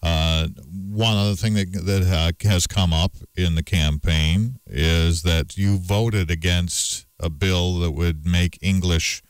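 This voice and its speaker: A man hosting a morning radio show, asking questions in a measured way with frequent fillers. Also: sits low, steady tone, smooth, no room sound. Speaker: radio host. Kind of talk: radio interview.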